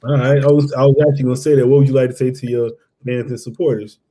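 A man hosts a podcast and jokes around with his guest. He talks fast with a mellow, dark tone, tossing off quick questions and wrap-ups. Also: mid-range voice, level tone. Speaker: podcast host, interviewer. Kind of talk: casual interview chat.

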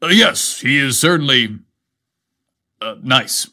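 A flustered, lovestruck fire captain acted by a man reading a story. He speaks haltingly and stammers, at a medium male pitch. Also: expressive, sharp tone, full character voice.

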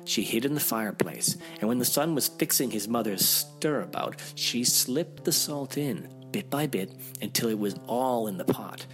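A man's voice: medium pitch, animated, moderate pace, hardly any pauses, a bit bright, soft background music. A man reading a fairy tale aloud as a storyteller, with dramatic, theatrical expression.

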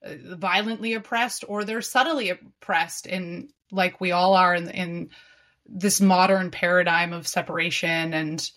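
A woman hosting a podcast talks slowly and thoughtfully, often pausing to find the next word. Her voice sits somewhat low for a woman. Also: steady tone, a bit bright. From Canada. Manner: reflective, searching for words. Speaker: podcast host. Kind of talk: casual conversational interview.

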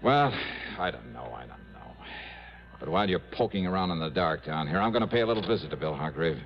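An actor playing a dogged, suspicious American investigator in an old radio drama, with clipped, insistent delivery and musing hesitations. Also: male voice, low voice, very animated, fast, gravelly, heavily acted. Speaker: lead actor and narrator of a detective radio drama. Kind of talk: 1950s-60s American radio drama acting. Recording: dull vintage radio recording with music.

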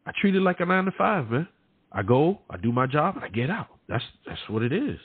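A man's voice: a little high, very animated, brisk, husky, dry recording, narrow, tinny audio. A man in his late thirties hosting a casual chat, talking informally with slang and repeating himself for emphasis. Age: late 30s. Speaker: podcast host.

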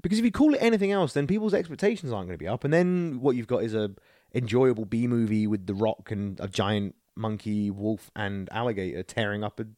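A man's voice: lowish voice, fast talker, warm tone, no room sound, clean studio sound. A man co-hosting a podcast, chatting casually about entertainment in a rambling, sweary way. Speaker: podcast host. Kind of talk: casual film and video game chat.

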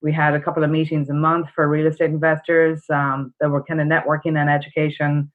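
A woman's voice: low-pitched, little pitch movement, fairly quick. A middle-aged woman from Ireland with an Irish accent, telling her story casually as a podcast guest. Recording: dull narrow-band call audio.

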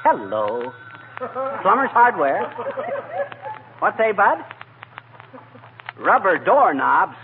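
An actor playing a bumbling, excitable, folksy husband in a 1940s radio comedy, with a blustering manner. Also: male voice, high voice, drawn-out words, long pauses, phone-quality sound, exaggerated voice. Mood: wry, brisk. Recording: noisy old radio recording, loud studio audience.

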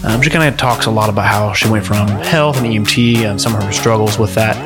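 A young man from California hosting a podcast, asking questions in a relaxed, easygoing chat. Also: fairly low pitch, brisk, over music. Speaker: podcast host. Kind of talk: casual interview chat.

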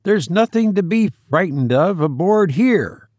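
A man's voice: sits high, lively intonation, a little slow, darkish tone, no echo, studio quality, voice pushed up. A man voicing a bold, practical sailor who speaks bluntly and colloquially, calling out in an audiobook. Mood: hailing. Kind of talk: audiobook character acting.

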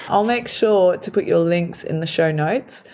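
A woman hosting a podcast for learners, explaining words slowly, patiently and simply. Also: fairly low pitch, a little mellow. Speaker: podcast host for English learners. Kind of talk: slow, clear explanatory teaching talk.

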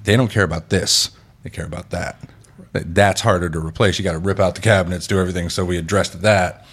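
A man in his thirties with a very deep voice hosts a casual chat. He tells stories with energy, voices the people in them, and swears freely. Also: fast, husky. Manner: animated storyteller, acts out quotes, swears freely. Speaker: podcast host.

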